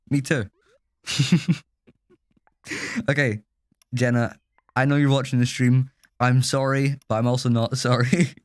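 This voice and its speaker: A man's voice: medium pitch, no room sound. A man hosts a live game stream, joking and laughing with the players. His voice sits mid-range and sounds dry and close, with no room around it. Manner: laughing, banter-heavy, exclamatory. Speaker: livestream host. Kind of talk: casual live gaming chat.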